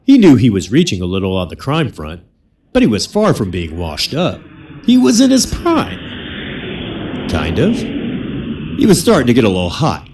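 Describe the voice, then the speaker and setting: A man's voice: mid-range voice, huge pitch swings, moderate pace, pauses often, a little mellow, a little hiss or hum. A man narrating an adult comedy radio drama, reading scripted storytelling with wry, crude humour.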